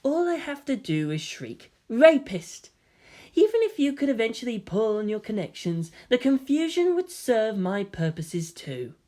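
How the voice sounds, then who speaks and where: A woman as androgynous hybrid youth, high lilting voice, coy, genteel, softly menacing, storybook villain. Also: big pitch swings, a little slow. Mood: polite, calculating. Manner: genteel, gentle, teasing politeness.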